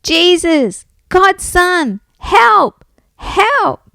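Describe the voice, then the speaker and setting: A woman's voice: high voice, expressive, unhurried, no echo, pitched above the performer's own voice. A woman voicing an eager, hopeful blind beggar man in a children's story, calling out insistently. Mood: desperate pleading.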